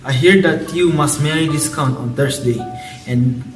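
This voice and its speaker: A man's voice: on the high side, normal speed, lightly acted. A man playing a wise, fatherly friar giving counsel in an amateur radio drama. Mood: grave, sympathetic. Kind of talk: student radio drama acting of modernised Shakespeare. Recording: echoey, distant mic, loud music behind. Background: music.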